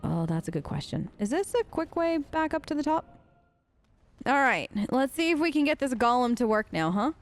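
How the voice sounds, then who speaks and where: Gamer girl doing roleplay lets play, higher voice, chatty n curious, bit unsure. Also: sing-song, normal speed, soft background music. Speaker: gaming streamer. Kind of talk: role-play let's play commentary.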